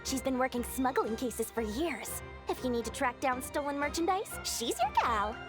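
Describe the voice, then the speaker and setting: A woman's voice: high voice, sing-song, moderate pace. An actress playing a scheming, greedy merchant woman with a wheedling sales patter, for a video game. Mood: salesy. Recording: game music behind the voice.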